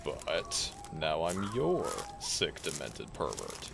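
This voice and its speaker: Cocky, menacing werewolf rival male actor, flirtatious and theatrical, slow delivery with big swings in pitch, noisy audio. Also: wildly swooping pitch, heavily acted. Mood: teasing, flirtatious. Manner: taunting, theatrical, swaggering. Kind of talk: dramatic audio roleplay acting. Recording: clearly noisy background.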